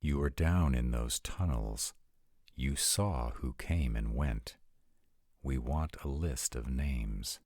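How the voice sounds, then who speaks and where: A man playing an arrogant, courteous male vampire in an audio roleplay, speaking formally and articulately in a husky, gravelly voice. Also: extremely low pitch, takes it slowly, a little mellow, no room sound, studio quality, full character voice. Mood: stern, formal. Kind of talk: acted romantic fantasy audio roleplay.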